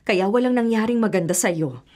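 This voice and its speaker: A woman's voice: medium pitch, moderate pace, a little mellow, close to an everyday voice. A woman acting as a hardworking, self-sacrificing middle-aged widowed mother in a Filipino radio drama, earnest and long-suffering. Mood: resolute, weary.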